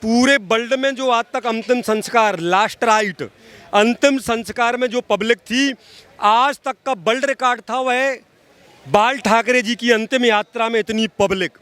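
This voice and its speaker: Very high-pitched man, emphatic. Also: moderate pace, a bit bright, clean tone. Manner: emphatic, repeats phrases, addresses listener directly. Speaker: social activist being interviewed. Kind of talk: impassioned opinionated political commentary.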